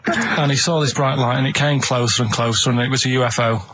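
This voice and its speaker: Casting: male radio co-host, artless anecdote teller, ordinary mid pitch, audible background noise. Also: fast talker. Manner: colloquial, artless storytelling. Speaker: radio show co-host and storyteller. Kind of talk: rambling anecdotal radio chat.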